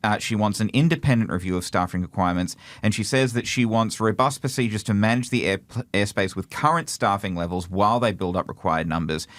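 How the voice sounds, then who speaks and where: A man co-hosting an aviation podcast, calmly relaying what sources have said. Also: fairly low pitch, dry recording. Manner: relays reported quotes in measured, explanatory tone. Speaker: podcast host. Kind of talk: casual news discussion.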